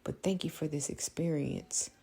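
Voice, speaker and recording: A woman, thinking out loud in a reflective, prayerful monologue, halting and earnest as she repeats phrases. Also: sits low, creaky, breathy edge. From small-town Louisiana, United States. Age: late 30s. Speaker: podcast host.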